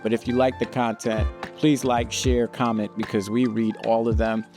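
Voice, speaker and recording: A man, co-hosting a podcast in casual, animated chat. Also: medium pitch, normal speed, music in the background. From Brooklyn, New York. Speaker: podcast co-host.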